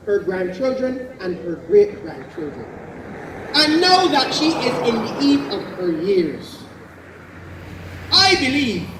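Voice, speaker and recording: A man's voice: extremely high pitch, noisy background. A man with a Barbadian accent giving an impassioned, folksy political speech at an outdoor meeting. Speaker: political candidate. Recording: echoey, distant rally sound.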